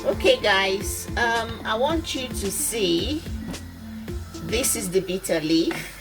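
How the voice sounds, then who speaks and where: A woman's voice: a little high, lively intonation, slow, music in the background. A Nigerian woman with a Nigerian accent talking viewers step by step through cooking a soup in her home kitchen, chatty and full of fillers. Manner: chatty, addresses viewers as guys, many fillers. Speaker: cooking channel host.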